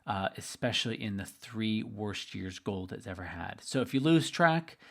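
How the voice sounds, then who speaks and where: Slightly hoarse, upbeat man. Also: on the low side, lively intonation, normal speed. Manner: upbeat, chatty, addresses listeners directly. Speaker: investment trainer hosting a webinar. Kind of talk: live webinar presentation.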